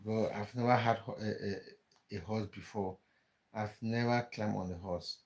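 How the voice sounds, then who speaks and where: A man's voice: fairly low pitch, fairly even intonation, a little slow. A man from Cameroon, hosting a casual live stream, chatting with viewers and often repeating words as he thinks aloud. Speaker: livestream host.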